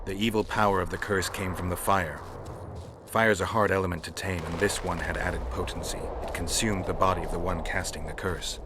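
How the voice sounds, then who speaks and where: Man acting a gruff, deep, stoic monster hunter. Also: brisk, noisy background, close to an everyday voice. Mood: calm, instructing. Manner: terse, flat, matter-of-fact. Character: stoic, world-weary male monster hunter, gruff and dry. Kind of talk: video game acting.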